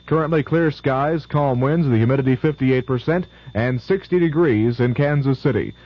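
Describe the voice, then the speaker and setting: A man reading the sports results and weather forecast on radio news in a brisk, even way. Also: medium pitch. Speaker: radio newscaster. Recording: lo-fi 1970s AM radio.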